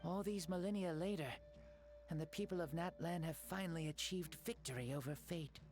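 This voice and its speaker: An actor playing a genial ancient man returned from death, telling his tale gently in game cutscene dialogue. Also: on the high side, close to an everyday voice. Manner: gentle, lively, measured storytelling. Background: soft game music.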